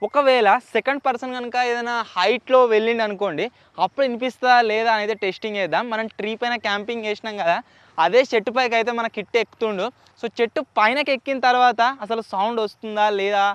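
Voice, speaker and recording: A man's voice: high voice, a bit bright, smooth, dry recording, lo-fi sound. A man with a Telangana Telugu accent presenting a gadget test as a vlog host, mixing in English words. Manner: mixes English words into speech. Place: outdoors in open area with trees.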